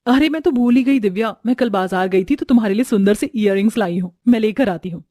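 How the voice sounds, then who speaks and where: A woman's voice: medium pitch, fast, dark tone, no echo, clean studio sound, lightly acted. Caring, playful young sister-in-law, acted by a woman. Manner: affectionate, teasing.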